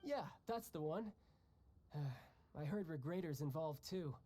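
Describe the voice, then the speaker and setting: A cocky, teasing young fighter. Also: male voice, fairly high pitch, animated, slow pace, dark tone. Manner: breezy, joking, laughs often. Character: cocky young male warrior, restless and teasing. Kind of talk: video game dialogue. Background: game music.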